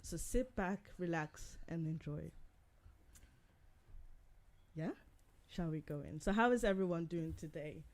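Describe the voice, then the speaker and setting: A woman from Switzerland hosting a casual group podcast, asking quick questions and laughing often. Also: low-pitched, a little mellow. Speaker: podcast host. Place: indoor podcast recording.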